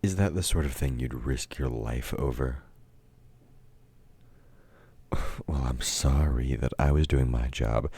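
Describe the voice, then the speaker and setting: An actor playing a domineering, taunting villain in an intimate audio roleplay, gruff and commanding as he mocks a meek listener. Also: moderate pace, heavily acted. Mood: taunting, incredulous. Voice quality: very deep, dark, husky voice. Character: domineering, taunting male villain, grudging temporary ally of heroes. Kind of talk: intimate audio roleplay, scripted dramatic acting.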